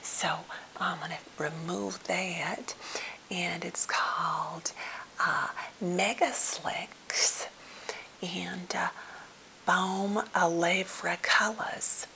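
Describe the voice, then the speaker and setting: A woman playing a timid, slightly odd captive who is eager to please, speaking in a halting, gentle, polite whisper for an ASMR role play. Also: on the low side, fairly even intonation, a little hiss or hum, heavily acted, rough recording. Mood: soothing, fussy. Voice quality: breathy whisper with fry.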